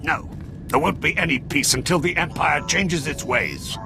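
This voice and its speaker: A game scene with music behind an embittered, vengeful father. His voice sits high for a man and has a gravelly creak. He speaks in accusations, full of grievance. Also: normal speed. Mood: hostile, defiant. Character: bitter grieving father, vengeful against the Empire. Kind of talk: dramatic video game acting.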